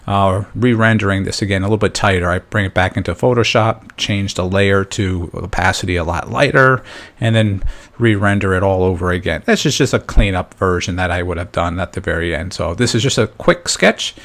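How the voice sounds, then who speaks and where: Low, gravelly man teaching drawing. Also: brisk, a bit bright. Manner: encouraging, informal, thinks aloud while working. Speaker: drawing instructor and host. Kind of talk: casual step-by-step drawing tutorial narration.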